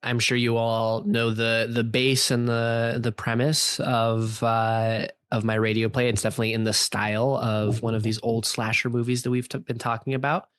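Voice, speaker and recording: A man with a medium-pitched voice chats on a podcast in a dry, close recording. His delivery is halting and deadpan, with tongue-in-cheek self-importance. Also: clean tone, dry recording. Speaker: podcast co-host and playwright. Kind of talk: tongue-in-cheek casual chat.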